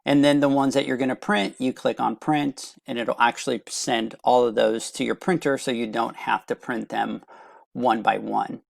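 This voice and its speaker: A man with a middle-pitched, heavily creaky voice walks through a piece of software. He explains it plainly, one step after another, like a product demo presenter. The voice-over is dry and close, without room sound. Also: fairly even intonation, moderate pace, no room sound. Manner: plain step-by-step instructional delivery. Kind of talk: screen-share product walkthrough, semi-scripted explanation.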